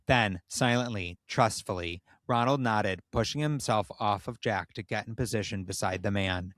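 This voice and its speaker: Man, lowish pitch, dry recording, podcast host, expressive comedic erotic story narration. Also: moderate pace, no room sound. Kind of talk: comedic reading of erotic fan fiction.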